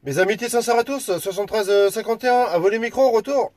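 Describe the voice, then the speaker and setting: High-voiced man on CB radio. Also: fast talker, darkish tone. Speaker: amateur CB radio operator. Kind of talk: radio calls and casual chat.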